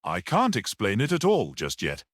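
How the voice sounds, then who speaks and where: A man voicing a gentle, shrewd priest detective in a story, speaking simply and earnestly with quiet certainty. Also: on the low side, wildly swooping pitch, moderate pace, no room sound, studio quality. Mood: puzzled, simple.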